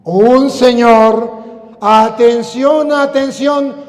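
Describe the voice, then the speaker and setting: High-pitched Venezuelan priest preaching in a hall. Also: male voice, steady tone. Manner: emphatic, declamatory, drawn-out key phrases with rhetorical questions. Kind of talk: sermon. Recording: echoing hall with long reverb.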